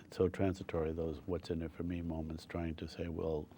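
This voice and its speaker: An older man talking as a guest in a casual interview, earnest and halting, often repeating his words. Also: sits low, level tone, warm tone, slight background noise. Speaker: guest on a talk show.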